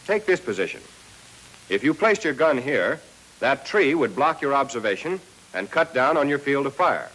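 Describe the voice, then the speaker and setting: Male narrator, American, 1940s army training film style. Scripted, instructional, mid-pitched, speaks straight to the trainee. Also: big pitch swings, moderate pace, a bit bright, poor audio. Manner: instructional, addressing trainees directly.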